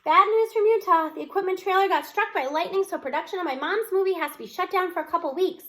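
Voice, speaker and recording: High-voiced woman reading a story aloud. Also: fast, a bit bright. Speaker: read-aloud narrator. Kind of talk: expressive children's novel read-aloud, first person.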